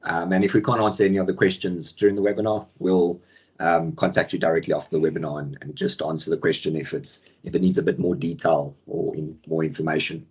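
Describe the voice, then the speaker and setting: A man hosting a software webinar over a video call, his voice croaky from the flu, talking in a relaxed way with many ums. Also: low-pitched, fast talker, muffled recording. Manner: relaxed, many ums, friendly filler words. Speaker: webinar host and product manager. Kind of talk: casual software webinar presentation. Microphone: video call microphone.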